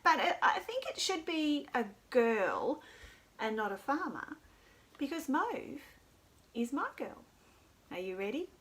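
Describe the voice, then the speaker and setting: A woman presents a children's storytime in a fairly high voice. She speaks very slowly and in simple phrases, with an encouraging, sing-song delivery aimed at small listeners. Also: sing-song, fairly bright tone. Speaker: children's storytime host at a library.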